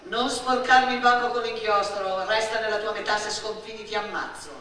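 A woman's voice: mid-range voice, little pitch movement, moderate pace, a bit bright, natural voice. A woman playing a mean, sneering schoolgirl deskmate in a stage monologue, scolding and threatening. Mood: menacing. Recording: echoey hall, distant stage microphone.

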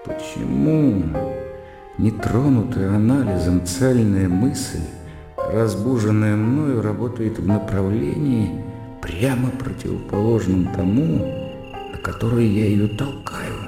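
An actor voicing a brooding, frustrated intellectual in an introspective, bookish interior monologue for a radio play. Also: male voice, on the low side, takes it slowly, vocal fry. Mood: brooding, baffled. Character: brooding, frustrated male intellectual thinking to himself. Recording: echoey hall-like reverb over plucked music.

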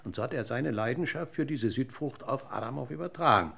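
A man acting a police inspector in a German radio crime drama, questioning in a deliberate, courteous and insistent way. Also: medium pitch, creaky, fairly bright tone, slightly rough. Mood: explaining, assured. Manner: deliberate, courteous interrogator's delivery. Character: male police inspector, probing, methodical, politely insistent.

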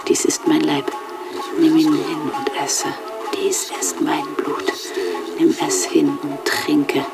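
An actress playing a woman who intones a dark, sensual communion rite in a radio drama, in a repetitive, incantatory manner. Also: sits low, level tone, takes it slowly, creaky, over loud music, exaggerated voice. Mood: hushed, ritual.

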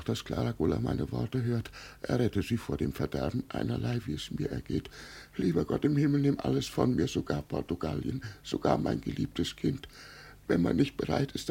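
An actor in a 1950s German radio play playing a mad old father who believes himself an emperor, pleading and solemn in a husky, creaky voice. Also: mid-range voice, animated, normal speed, mellow, full character voice. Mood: desperate prayer. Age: older. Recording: vintage 1950 radio recording.

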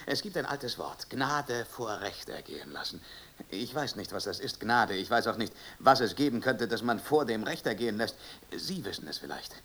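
Earnest frogman, lively intonation. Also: on the low side, moderate pace. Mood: earnest, pleading. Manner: earnest, declamatory. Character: earnest frogman from a morally superior future society. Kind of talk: German radio play acting.

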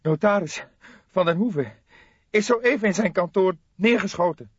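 Man doing a high-pitched, agitated young detective. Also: moderate pace, husky, dry recording, highs cut off. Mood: shocked, urgent. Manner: breathless, urgent. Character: young male police detective, shaken and excitable.

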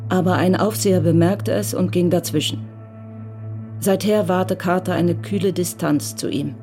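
Calm woman narrating a radio crime drama. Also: fairly low pitch.

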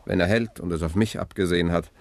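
A low male voice with a creaky edge as a cool, gallant reporter in a vintage radio thriller. He is dry and nonchalant, both in dialogue and as narrator. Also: quick pace. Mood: calm, resolute. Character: cool, gallant male journalist, hero and first-person narrator. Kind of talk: 1960s German radio crime drama acting and narration.

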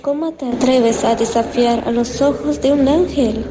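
A woman's voice: high voice, moderate pace, lightly acted. A young woman playing a vengeful angel girl, solemn and mocking, in a student horror radio story. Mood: solemn vengeance. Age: teenager. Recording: noisy home recording.

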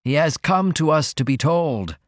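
A man's voice: a little high, expressive, normal speed, dry recording, clean studio sound, pitched above the performer's own voice, lightly acted. A man voicing a sickly, dying man with a sardonic, solemn, goading manner in a storybook reading. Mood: mock solemn. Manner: solemn, meditative, urging.

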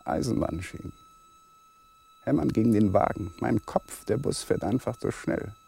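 An actor playing an anxious husband, speaking a fragmented inner monologue in a raspy, gravelly voice in a radio play. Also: male voice, on the high side, wildly swooping pitch, moderate pace, mellow, heavily acted. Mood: uneasy.

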